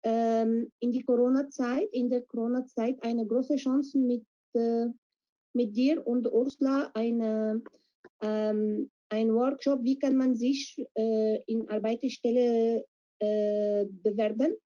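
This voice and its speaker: A woman speaks in a fairly high but very mellow, dark-toned voice. She searches for words and fills her pauses with hesitations. The audio is dull and lo-fi, like an online call. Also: little pitch movement. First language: Arabic. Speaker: project participant. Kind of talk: interview answers in learner German. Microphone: video call.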